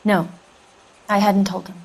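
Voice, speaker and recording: An actress playing a defiant, unsentimental 1940s widow in a crime game, answering in curt, sardonic retorts. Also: female voice, medium pitch, little pitch movement, measured pace, gravelly, darkish tone, breathy edge, rough recording. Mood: indignant. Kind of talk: naturalistic period crime drama acting.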